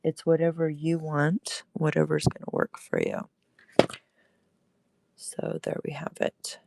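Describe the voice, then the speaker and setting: Slow, gravelly-voiced woman crafter. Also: low voice, wildly swooping pitch, darkish tone, no room sound. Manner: chatty, greets viewers while demonstrating. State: recovering from illness, gravelly throat. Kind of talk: casual live crafting stream. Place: home crafting space.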